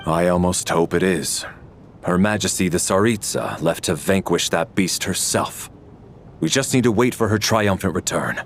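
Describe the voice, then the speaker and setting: A man's voice: low-pitched, animated, moderate pace, a little hiss or hum. An actor playing a stern, loyal servant of a queen in a video game. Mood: devoted.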